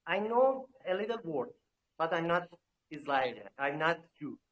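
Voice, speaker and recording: A man's voice: fairly high pitch, animated, normal speed, fairly bright tone, a little hiss or hum, poor audio. Hesitant man full of filler sounds. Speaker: crypto project representative. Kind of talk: live online AMA answers.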